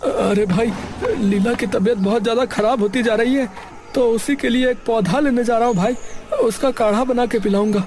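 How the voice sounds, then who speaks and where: A man's voice: very high voice, fairly even intonation, normal speed. A man acting a distraught, stubborn, devoted husband in a dramatized moral story, agitated and stammering over repeated words. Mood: hurried, anxious.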